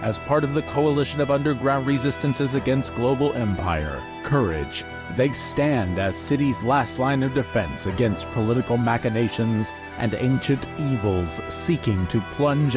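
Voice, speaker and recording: A man's voice: medium pitch, a little slow, hardly any pauses. A man delivering scripted promo narration in a grand, dramatic trailer style. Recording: prominent music bed under voice.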